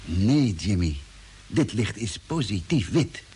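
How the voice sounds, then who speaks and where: A man's voice: lowish voice, sing-song, takes it slowly, dark tone, slightly rough, exaggerated voice. An actor playing a sceptical, authoritative space crewman with a precise, matter-of-fact delivery in mid-century radio drama style. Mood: businesslike. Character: Mitch, sceptical, authoritative male space engineer. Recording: noisy old radio play recording.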